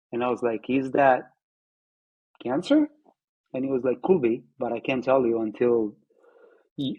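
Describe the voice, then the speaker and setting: A man, telling his own story in an interview, reflective and halting with many fillers and restarts. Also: mid-range voice, darkish tone, husky, muffled recording. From Italy. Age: 50s. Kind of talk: personal testimony interview.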